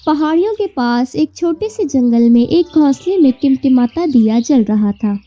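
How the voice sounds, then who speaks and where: A woman's voice: sits high, lively intonation, moderate pace, mellow. A woman narrating a children's cartoon story as a scripted storyteller. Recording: muffled, distant-sounding, music behind.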